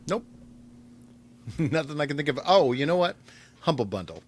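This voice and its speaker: A man's voice: a little high, big pitch swings, normal speed, slight background noise. A man co-hosting a podcast, talking casually and informally. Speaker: podcast co-host. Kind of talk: casual chat.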